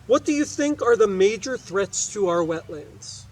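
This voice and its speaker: A man's voice: sits high, expressive, unhurried. An American man reading aloud as a teacher, explaining things in an instructive way and checking that listeners follow. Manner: instructive, asks questions and checks understanding. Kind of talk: educational read-aloud with explanatory asides.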